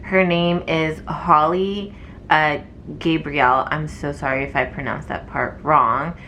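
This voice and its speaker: A woman's voice: sits low, steady tone, slow pace, pushed and bright, a little hiss or hum. A young woman, a nursing student, chatting casually to camera with lots of fillers, her voice wearing thin from talking. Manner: chatty and rambling, many fillers. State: losing her voice.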